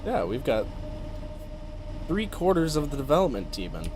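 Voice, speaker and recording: A man's voice: mid-range voice, big pitch swings, moderate pace, noisy background. A man hosting a game stream in casual chat, teasing his friends and laughing often. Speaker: streamer host.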